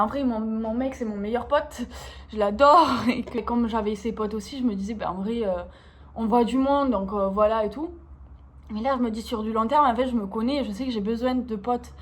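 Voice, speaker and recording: A young woman with an accent from France, vlogging in an informal, slangy, expressive way, confiding casually in her viewers. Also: on the high side. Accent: French from France. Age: young adult.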